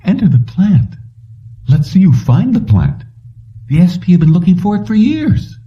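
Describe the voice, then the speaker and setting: Man voicing a sceptical woman, raised pitch. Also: very animated, normal speed, distant mic, lo-fi sound. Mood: mocking disbelief. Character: Kelly, sceptical, probing woman, challenging her companion. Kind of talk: audiobook character dialogue.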